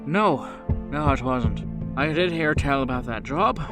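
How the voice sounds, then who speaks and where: A man voicing a gruff mob boss in a tabletop game, businesslike and dismissive, with old-fashioned slang. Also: mid-range voice, lively intonation, unhurried, music in the background, close to an everyday voice. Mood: irritated, guarded. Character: gruff male mob boss and bar owner, needling, businesslike.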